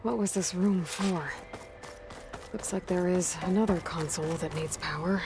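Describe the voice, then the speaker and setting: Woman doing a matter-of-fact young game heroine, lowish voice, music under it. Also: fairly even intonation, moderate pace, lightly acted. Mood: curious. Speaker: video game protagonist.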